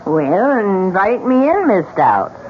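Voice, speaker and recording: An actress playing an old widow in a radio drama, grave, insistent and full of dramatic warnings. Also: female voice, medium pitch, big pitch swings. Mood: blunt. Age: older. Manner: grave, dramatic, slow pronouncements. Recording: dull, noisy old radio recording.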